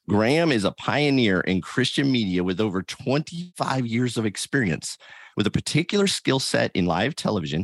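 A man's voice: low-pitched, no echo. A man hosting a podcast, talking in a casual, upbeat way as he introduces his guest. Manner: casual and upbeat, reads ad copy. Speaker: podcast host. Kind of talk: podcast interview.

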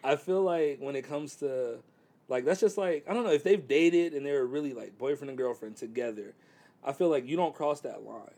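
A man's voice: medium pitch, moderate pace, warm tone. A man in his thirties chatting casually about dating, laid-back and rambling with lots of fillers. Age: 30s. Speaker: guest.

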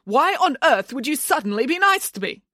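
A woman's voice: fairly high pitch, lively intonation, brisk, fairly bright tone, no echo, studio quality, pitched above the performer's own voice. A woman voicing a bold, indignant pig nanny from a children's storybook, with an emphatic, haughty manner. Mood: alarmed, suspicious.

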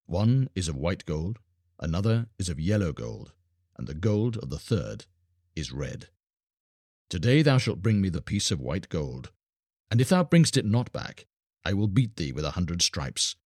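A sinister old magician from a storybook, voiced by a man, cruel and commanding. He lays down stern threats in old-fashioned phrases, in a very low, husky voice with a dry, close sound. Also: big pitch swings, normal speed, a little mellow, no echo. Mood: stern, menacing. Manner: stern, threatening, archaic speech.

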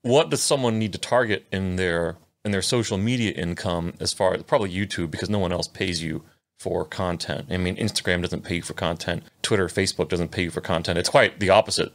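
Deep-voiced man asking rambling questions. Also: a little fast. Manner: rambling questions, many fillers and tag questions. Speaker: interview host. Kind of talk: casual interview chat.

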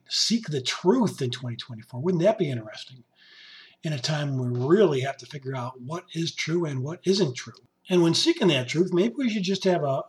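A man hosting his podcast in casual monologue, his voice hoarse and raspy, rambling and joking as he talks to his musician. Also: on the high side. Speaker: podcast host. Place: basement home studio.

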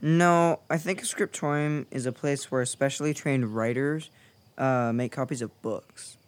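Man as a thoughtful, mid-pitched boy adventurer. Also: measured pace, clean tone, close to an everyday voice. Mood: earnest, curious.

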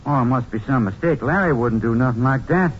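A man's voice: medium pitch, brisk, natural voice. An actor playing a folksy, evasive working man protecting his brother in a 1950s radio drama, drawling out defensive, ungrammatical lines. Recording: muffled old radio recording, light noise.

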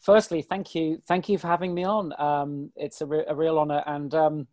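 A man in his forties, a guest on an interview, talking in a chatty, self-deprecating, rambling way with a hoarse, weary voice. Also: fairly high pitch, fairly even intonation, normal speed, darkish tone, no echo, clean studio sound. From Britain. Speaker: guest, ultrarunner. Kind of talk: casual interview chat.

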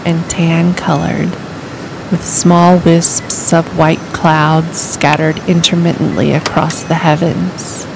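A woman calmly reading a scripted guided imagery meditation in a soothing, deliberate way. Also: sits low, gravelly, background noise. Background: music.

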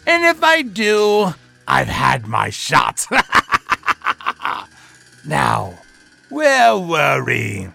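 A man's voice: a little high, huge pitch swings, creaky, pushed and bright, soft background music, full character voice. A man playing a creepy old lord who hosts a horror story with mock-sinister jokes. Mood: sinister-playful. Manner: mock-sinister, joking, drawn-out delivery. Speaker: host. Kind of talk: theatrical horror host intro.

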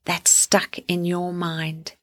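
A woman hosting a guided sleep meditation, speaking gently and soothingly in deliberate phrases with long pauses. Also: on the low side, monotone.

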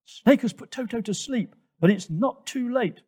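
A man's voice: high voice, sing-song, normal speed, a little mellow, pitched above the performer's own voice. A man voicing a bossy but caring giraffe friend in a children's story, firm and kindly. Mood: urgent. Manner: firm, scolding yet kindly.

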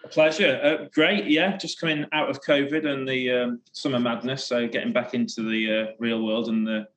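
A man chatting casually as an interview guest, talking haltingly with frequent restarts. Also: medium pitch, steady tone, a bit bright, low-quality recording. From born in England, grew up in the Middle East. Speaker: interview guest, design agency co-founder. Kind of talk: casual conversational recounting.

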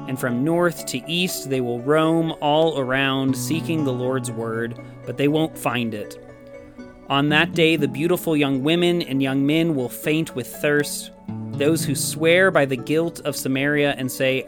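A man reading scripture aloud in a solemn style. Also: medium pitch. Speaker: scripture reader. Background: soft acoustic guitar music.

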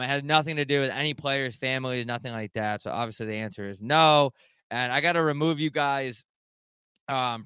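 Young male streamer host, bright crisp voice, dry close mic, profane rambling humor. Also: mid-range voice, no echo. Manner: profane, joking, loose and rambling. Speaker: show host taking live callers. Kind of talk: casual rambling chat.

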